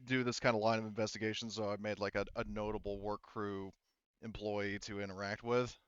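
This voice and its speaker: A man speaking casually and haltingly as the game master of a tabletop roleplaying session. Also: lowish voice, level tone, fairly bright tone, smooth, no room sound, dull audio.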